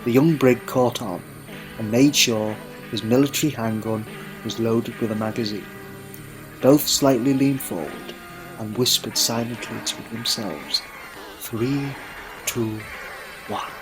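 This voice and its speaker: A man narrates an adventure story in a mid-range voice. The delivery is scripted and dramatic, in a storybook manner, with music clearly playing behind him. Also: mid-range voice, a little slow, rough recording.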